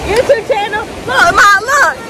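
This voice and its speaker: A woman's voice: very high voice, measured pace. A young American woman vlogging casually on a phone outdoors at the beach, chatting to the camera and calling out to children. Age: young adult. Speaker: family vlogger. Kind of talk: casual chat to camera and family. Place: outdoors on a busy beach by the surf. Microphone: handheld phone, at arm's length when on screen. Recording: loud surf and beach crowd noise. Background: waves, wind and crowd.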